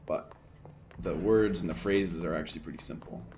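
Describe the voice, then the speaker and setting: A man with a lowish voice talks through a first playthrough of a game, thinking aloud and half-muttering menu text. Faint ambient noise sits under his desk-mic sound. His delivery is casual, rambling and chatty. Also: normal speed, a little mellow. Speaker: gaming streamer. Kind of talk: casual let's play commentary.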